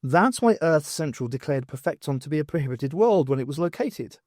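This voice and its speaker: A man reading a first-person story aloud as an expressive audiobook narrator, with wry, dramatic, emphatic phrasing. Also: a little high, a little fast, dry recording, studio quality. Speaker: audiobook reader.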